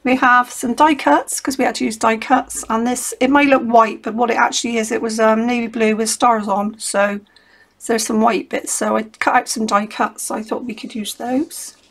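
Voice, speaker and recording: A middle-aged woman with a slightly croaky voice chats her way through a craft session at home, thinking aloud and filling gaps with ums. Her voice sits a touch high for a woman. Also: level tone, normal speed. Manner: chatty, rambling, thinks aloud with many ums. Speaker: craft vlogger. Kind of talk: casual crafting chat.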